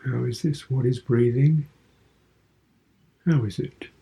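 Slow, mellow man guiding meditation. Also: mid-range voice, fairly even intonation, gravelly. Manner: contemplative, sparse short phrases with pauses, posing gentle questions. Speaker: meditation teacher, Buddhist monk. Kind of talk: guided meditation.

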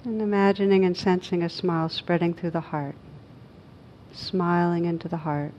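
A woman teaching a guided meditation, speaking gently in short, unhurried phrases with pauses between them. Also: on the low side, steady tone, highs cut off, a little hiss or hum.

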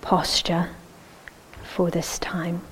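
Very slow, low-voiced woman guiding meditation. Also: level tone, whispered, rough recording. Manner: measured, gentle, with long pauses. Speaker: meditation teacher. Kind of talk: guided meditation instructions.

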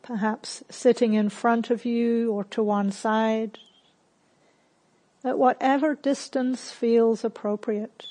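Female meditation guide, fairly high voice, very slow delivery, calm gentle instruction with spacious pauses. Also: poor audio. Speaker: meditation teacher.

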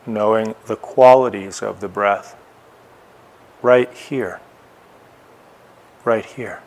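A man teaching a guided meditation, speaking in short, unhurried phrases with long pauses between them. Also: on the low side, fairly even intonation, a little mellow, low-quality recording.

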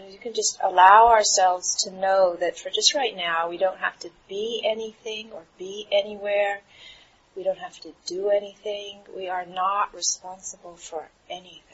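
A woman meditation teacher guiding a relaxation in a soothing, unhurried manner with trailing pauses. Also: mid-range voice. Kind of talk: guided meditation. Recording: dull, muffled recording with clear background noise.

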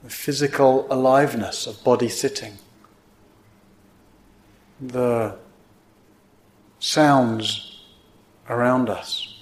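A man teaching a guided meditation in gently instructive, unhurried phrases with pauses between them. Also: mid-range voice, steady tone, a little hiss or hum. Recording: roomy, echoing indoor sound.

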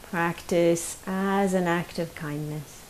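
Woman doing guided meditation, lowish voice, gentle, really slow w long pauses, bit of hiss. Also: steady tone, poor audio. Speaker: meditation teacher. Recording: faint hiss behind the voice.

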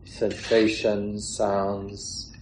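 A man leading a guided meditation as a teacher, speaking calmly and in measured phrases with long pauses. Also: lowish voice. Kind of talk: guided meditation, unscripted instruction. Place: meditation hall or large room. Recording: roomy echo, faint background noise.

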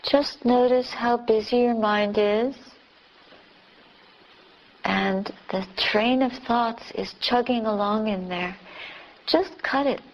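A woman teacher leading a beginner's guided meditation, giving calm, gentle instructions with long pauses. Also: fairly high pitch, muffled recording. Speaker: Buddhist nun teaching meditation.

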